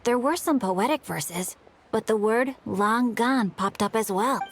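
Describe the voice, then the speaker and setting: A woman voicing a bookish, well-spoken young boy scholar in a video game, explaining things in a measured, articulate way. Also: a little high. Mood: earnest, informative.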